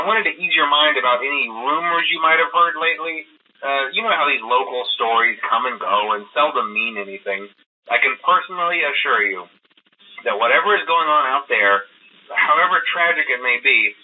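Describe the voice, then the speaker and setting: A man's voice: medium pitch, close to an everyday voice. An actor playing a chatty, nervously reassuring male employee in a video game, leaving casual, hesitant, rambling phone briefings for a new guard. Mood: uneasy, reassuring. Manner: hesitant, rambling, breezily downplaying trouble. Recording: tinny, narrow phone line.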